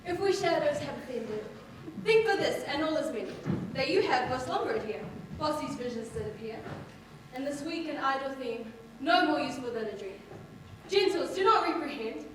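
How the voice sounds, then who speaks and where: A woman's voice: sits high, expressive, normal speed, far from the mic. A woman playing a mischievous fairy sprite, reciting rhymed Shakespearean stage verse with an incantatory lilt. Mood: playful appeal. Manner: incantatory, lilting rhymed delivery. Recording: echoey hall from afar, noisy.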